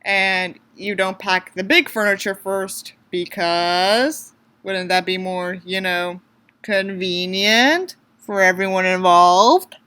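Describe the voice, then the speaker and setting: A woman's voice: mid-range voice, slow, bright, forceful tone, smooth. A woman giving a mocking dramatic reading of a story, dropping in sarcastic, theatrical casual asides. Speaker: host reading a story aloud with commentary. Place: small room in an apartment.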